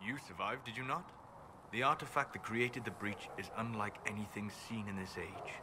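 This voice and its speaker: Guy playing a calm learned elf mage in a game, low measured voice, clear background ambience noise. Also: lightly acted. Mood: grave, measured. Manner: measured, formal, thoughtful phrasing. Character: calm, learned elven apostate mage, reserved and scholarly. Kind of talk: video game dialogue acting.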